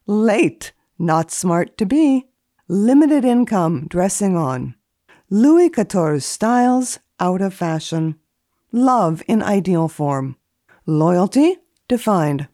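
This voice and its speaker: A woman speaking as a guest columnist in a deadpan, theatrical manner, as if giving a dramatic reading. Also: mid-range voice, slow pace, no room sound, studio quality. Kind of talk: dramatic reading of a book index and deadpan commentary.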